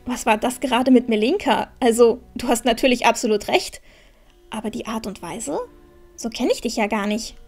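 A woman's voice: a little high, normal speed, darkish tone, faint music behind. A woman playing a resolute, caring young heroine in a fantasy audio drama, earnest and bossy yet tender. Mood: concerned, soothing.

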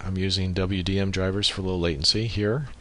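A man giving a step-by-step software tutorial as screencast narration, speaking into a USB microphone. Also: low-pitched, steady tone, brisk, a little hiss or hum.

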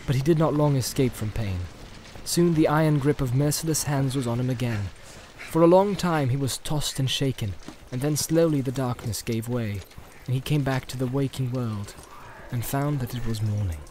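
A man's voice: mid-range voice, moderate pace, mellow, slight background noise, poor audio. A man narrating a fantasy novel in a dramatic, scripted audiobook style. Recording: faint ambient soundscape behind.

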